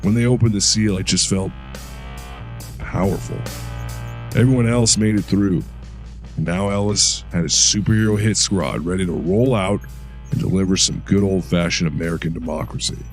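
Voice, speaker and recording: Casting: an actor as a gruff-calm veteran super-soldier, low voice, audio drama over soft music. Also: male voice, normal speed, natural voice. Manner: steady recounting, low-key storytelling. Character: Major Liberty, veteran male super-soldier recounting his past.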